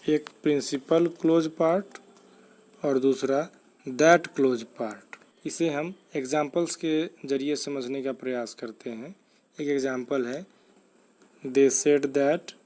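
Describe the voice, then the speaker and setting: Slow-paced Indian man teaching grammar. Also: a little high, a little mellow. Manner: deliberate, explanatory, enunciates examples word by word. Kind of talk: scripted instructional lesson narration.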